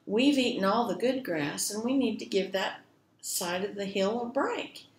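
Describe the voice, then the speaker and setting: Woman playing middle billy goat, storybook, reasonable, matter-of-fact, calm, roomy sound. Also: medium pitch, measured pace, slight background noise. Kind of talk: children's storytelling. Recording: echoey room.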